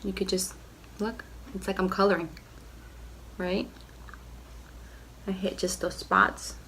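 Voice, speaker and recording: A young woman with a cold, talking through a casual makeup tutorial at home in a nasal, rough, low voice, filling her sentences with "like" and "you know". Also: steady tone, slow pace, a bit bright, a little hiss or hum, low-quality recording. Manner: chatty, lots of "like" and "you know". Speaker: beauty vlogger.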